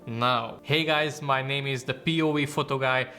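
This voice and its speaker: Bright-voiced Hungarian man vlogging. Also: mid-range voice, fairly even intonation, moderate pace, faint music behind. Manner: earnest, informal, non-native phrasing. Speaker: photography YouTuber. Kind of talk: casual talking-to-camera vlog.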